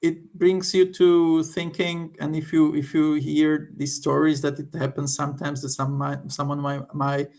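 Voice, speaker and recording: A man from Slovakia with a Slovak accent gives an informal, unscripted review, halting as he searches for words. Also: fairly high pitch, level tone, vocal fry, a little mellow. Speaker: occult book reviewer.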